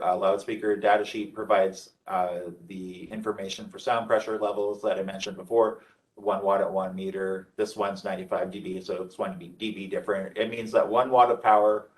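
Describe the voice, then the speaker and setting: A man with a fairly low voice leads an informal technical training session. He sits a little back from the microphone. His delivery is halting, with frequent hesitant pauses as he explains. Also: distant mic, poor audio. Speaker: trainer presenting product training. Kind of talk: informal technical lecture over slides.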